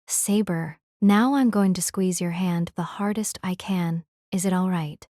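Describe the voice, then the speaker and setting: A woman playing a gentle, composed noble lady in an audio drama, speaking politely and reassuringly. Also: fairly low pitch, unhurried, no echo, clean studio sound, close to an everyday voice. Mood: apologetic, frank.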